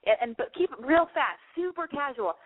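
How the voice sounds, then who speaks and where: A woman's voice: high voice, animated, moderate pace, no echo, narrow, tinny audio. A woman hosting a call-in advice show, giving chatty, emphatic big-sister advice. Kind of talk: casual advice call-in chat.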